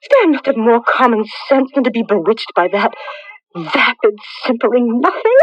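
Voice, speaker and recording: A woman's voice: fairly high pitch, big pitch swings, moderate pace, no room sound. An actress playing a proud, bitter young woman in an old-time radio drama, sarcastic and intense. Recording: dull, lo-fi radio drama recording.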